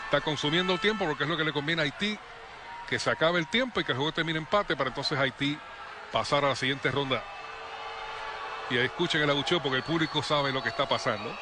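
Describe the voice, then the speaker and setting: A man calling play-by-play for a youth football match, brisk and lively on attacks. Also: fairly high pitch, noisy background. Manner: brisk, rising excitement on attacks. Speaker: play-by-play commentator.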